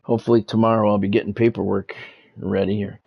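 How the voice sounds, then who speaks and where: A man's voice: lowish voice, flat delivery, fast talker, creaky, a little hiss or hum. An American man chatting casually and doing sports commentary as a game streamer, informal and wry with a self-deprecating streak. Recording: dull, lo-fi microphone sound.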